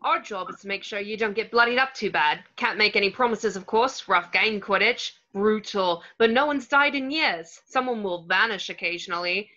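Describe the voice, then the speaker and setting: A woman plays a teenage twin boy in an amateur table read. The voice is bright and teasing, on slightly dull, lo-fi audio. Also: mid-range voice, normal speed, hardly any pauses, natural voice.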